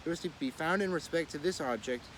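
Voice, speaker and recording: Man reading aloud over street noise. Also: fairly high pitch. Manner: measured oratorical reading with occasional stumbles and self-corrections. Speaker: reader of a daily series. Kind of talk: reading a historical political essay aloud. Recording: clear street and vehicle noise.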